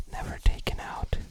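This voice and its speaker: A person whispering in a casual ASMR style, musing aloud softly in a breathy, unvoiced voice. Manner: soft, unhurried, musing aloud. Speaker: ASMR video creator. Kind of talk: whispered ASMR, casual unboxing and game commentary.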